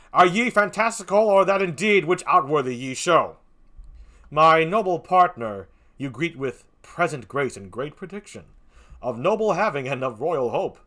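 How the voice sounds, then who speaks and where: A reader voicing Banquo as a stern nobleman, speaking loudly and set back from the mic. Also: mid-range voice, voice pushed up. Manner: stern and loud, from farther off the mic.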